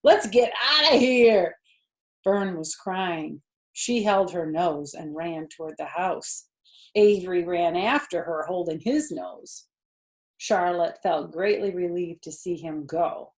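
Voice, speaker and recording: A woman's voice: on the low side, slow pace, darkish tone. A woman storyteller reading a children's book aloud expressively, with chatty asides to young listeners.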